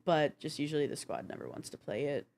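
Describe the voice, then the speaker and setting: A woman's voice: low voice, expressive, quick pace, gravelly, a little mellow, slight background noise. A woman co-hosting a gaming news show, counting down a list in a casual, chatty, informal way.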